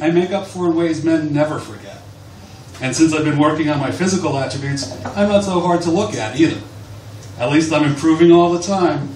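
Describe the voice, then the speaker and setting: A man reading aloud from a book at a live literary event in a bar. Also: fairly high pitch. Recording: distant, echoey, noisy live bar recording.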